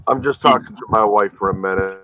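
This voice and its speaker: A man joining a stream chat, talking in a relaxed, offhand way. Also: fairly low pitch, fast. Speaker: stream guest. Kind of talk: casual chat. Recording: dry, dull lo-fi audio.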